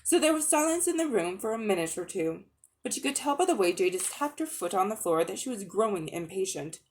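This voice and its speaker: A woman reading a children's story aloud in an expressive, scripted narrator's style. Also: mid-range voice, a little fast, slight background noise.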